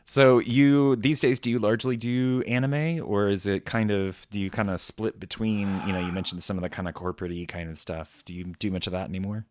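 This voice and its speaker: A low-pitched man hosts a relaxed interview, asking rambling questions and nodding along with quick agreement. The sound is dry and close. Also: no echo. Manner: affable, agreeable, lots of backchannel. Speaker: podcast host. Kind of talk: casual interview chat.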